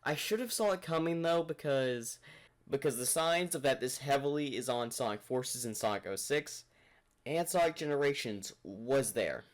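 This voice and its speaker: A man with an average-pitched voice hosts a reaction video. He talks casually and rambles as he thinks aloud, commenting as a fan would. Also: lively intonation, normal speed.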